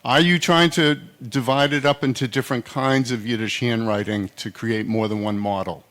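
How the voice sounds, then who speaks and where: A man's voice: mid-range voice, steady tone, normal speed, fairly bright tone. Long-winded man asking a question. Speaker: audience member asking a question. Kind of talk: question from the floor.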